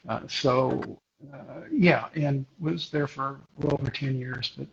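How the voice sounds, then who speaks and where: A middle-aged man from Houston, a guest photographer, talking hesitantly with many pauses and restarts in a live interview over a video call. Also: medium pitch, fairly even intonation, vocal fry, dull audio, heavy background noise. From Houston, Texas.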